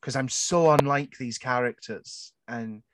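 A young man in his twenties hosting a podcast, chatting candidly with many stops, restarts and swear words. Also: mid-range voice. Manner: swears a lot, halting restarts. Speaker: podcast host. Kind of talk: candid casual chat.

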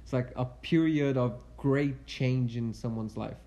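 A woman's voice: extremely low pitch, measured pace, warm tone. A woman in her twenties chatting casually with a friend, laughing often and swearing freely. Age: 20s. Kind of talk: casual chat between friends.

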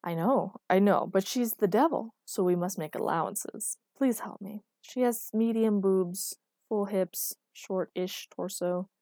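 A woman's voice: mid-range voice, unhurried, hardly any pauses, warm tone, no room sound, clean studio sound, natural voice. A woman voicing an exasperated, bossy boyfriend in audiobook dialogue, firm, nagging and long-suffering. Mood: exasperated, pleading. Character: exasperated bossy responsible boyfriend, a working man.

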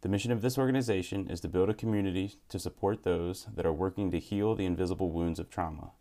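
A man's voice: deep voice, steady tone, fast talker, warm tone. A man in his thirties hosting a podcast, who asks questions in an earnest, curious way. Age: 30s. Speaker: podcast host. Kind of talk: casual interview podcast. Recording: roomy echo.

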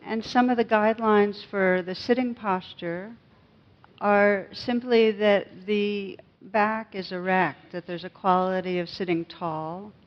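A woman's voice: mid-range voice, fairly even intonation. Woman guiding meditation, slightly muffled recording. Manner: gentle, unhurried, with pauses. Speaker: meditation teacher. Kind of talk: guided meditation.

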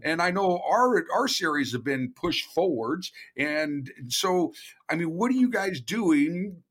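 A man's voice: fairly high pitch, lively intonation, slow, a bit bright. A man hosting a podcast interview, chatty and enthusiastic, with a joking manner. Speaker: podcast host.

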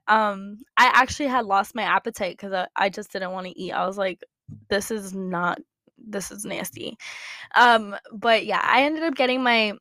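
A woman's voice: medium pitch, fairly bright tone, no room sound. A young woman hosting a casual faith podcast, congested and with a slight cough, rambling with many fillers and restarts. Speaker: podcast host. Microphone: computer setup, looking at notes.

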